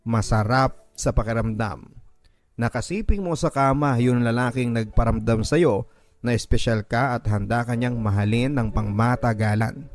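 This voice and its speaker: A man narrating a listener's letter in first person for a radio drama, reading it dramatically and with feeling, slowing down at the sad parts. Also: mid-range voice, no room sound. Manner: emotive, slowing on sad moments.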